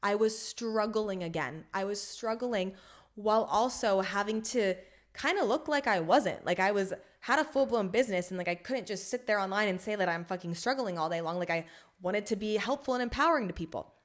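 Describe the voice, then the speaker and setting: A young adult woman hosting her own podcast, talking in a frank, rambling way full of swearing and filler words, with emphasis that swings between loud and soft. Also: mid-range voice, brisk. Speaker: podcast host. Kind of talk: casual solo podcast monologue.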